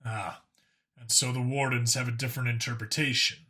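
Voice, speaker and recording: A man's voice: medium pitch, little pitch movement, a little fast, pushed and bright, breathy edge. A man voicing a wry, skeptical human cop who talks in a dry, casual way in an audiobook story.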